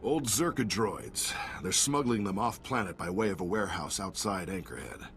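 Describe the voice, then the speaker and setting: An actor playing an earnest, plainspoken frontier militia leader in a video game. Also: male voice, lowish voice, lively intonation, moderate pace, a bit bright, slight background noise.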